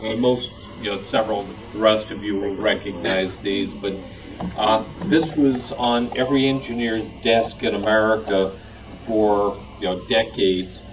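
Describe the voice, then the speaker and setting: A man giving a casual, halting and understated show-and-tell talk. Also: on the low side, steady tone. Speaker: club member at show and tell. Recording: distant mic, clear background noise.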